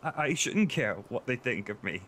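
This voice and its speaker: A man playing an insecure, tearful boyfriend who seeks comfort in an intimate audio roleplay, speaking in a halting, stammering way. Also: medium pitch, very animated, a little hiss or hum. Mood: upset, frustrated. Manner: halting, stammering, with nervous soft laughs. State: emotional, tearful.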